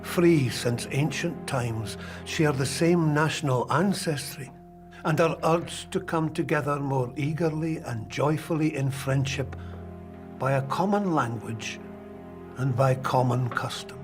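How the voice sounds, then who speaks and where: An actor playing a determined medieval king, reading in a grave, measured, declaiming way for a documentary. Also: on the high side, over music, close to an everyday voice. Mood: solemn. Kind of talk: dramatic reading of a historical letter.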